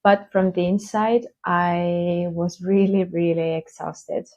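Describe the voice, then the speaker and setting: Slow-spoken woman from Estonia. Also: fairly low pitch, steady tone, a little mellow. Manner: slow, hesitant, with many fillers. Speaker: conference speaker. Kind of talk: keynote talk. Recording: dull lo-fi online stream.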